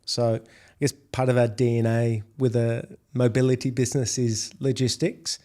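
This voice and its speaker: Australian man, middle-aged, warm mellow tone, measured business interview answers. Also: medium pitch, little pitch movement. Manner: measured, repeats words when restarting. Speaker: podcast guest, food delivery executive.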